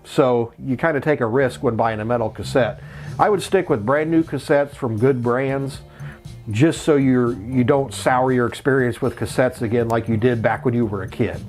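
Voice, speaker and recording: A middle-aged man with a medium-pitched voice presents a casual hands-on tutorial. He explains each step in plain words, as a channel host would. Music plays faintly behind him. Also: normal speed, a little mellow.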